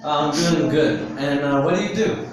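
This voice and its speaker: A man, a teacher chatting in a relaxed, easygoing way with small fillers. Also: a little high, moderate pace, heavy reverb, far from the mic, slight background noise, rough recording. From USA. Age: 20s. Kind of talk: casual practice conversation.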